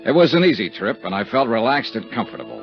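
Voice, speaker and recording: A man's voice: fairly low pitch, wildly swooping pitch, fast, gravelly, faint music behind, heavily acted. A man acting as a wry, hard-boiled insurance investigator in a 1950s radio drama, with clipped wisecracking delivery. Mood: dry narration. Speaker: lead actor and narrator of a radio detective serial. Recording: dull 1950s radio recording.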